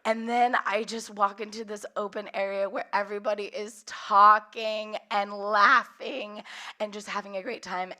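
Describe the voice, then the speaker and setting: A young woman from California's Central Valley, hoarse and a little cracky from recovering a lost voice, leading a workshop with open storytelling and frequent laughter. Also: medium pitch, sharp tone. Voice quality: slightly cracky voice. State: hoarse, recovering from losing her voice. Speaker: workshop leader. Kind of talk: personal storytelling talk.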